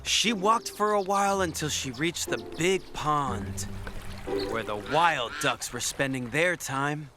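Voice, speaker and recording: A man's voice: a little high, slow pace. A man reading a scripted children's bedtime story as the narrator, with music behind him.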